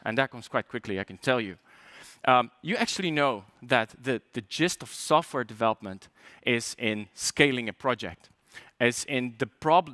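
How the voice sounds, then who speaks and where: A man's voice: mid-range voice, fairly bright tone, dry recording. Chatty Polish man giving a tech talk. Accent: light Polish. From Poland. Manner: chatty, joking asides, frequent fillers and restarts. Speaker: conference speaker.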